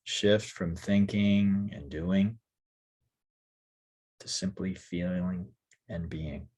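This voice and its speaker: Man, deep husky voice, unhurried delivery, soft and soothing, guided meditation, short phrases with long pauses. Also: fairly even intonation. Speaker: yoga nidra teacher.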